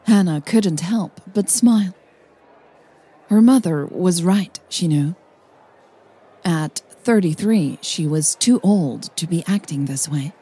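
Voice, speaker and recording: A woman narrates a romance story in a calm, measured voice, sitting slightly low in her range. Her tone is warm and mellow, and her delivery is soft and husky, never sharp. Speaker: podcast host and narrator.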